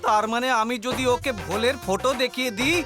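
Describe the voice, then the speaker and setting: A man voicing an excitable, fretful guru in a children's cartoon, exclaiming and scolding. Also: very high voice, brisk. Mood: fretful, eager. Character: excitable, fretful cartoon guru fussing over his disciple. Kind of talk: Bengali children's cartoon dubbing. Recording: loud music behind the voice.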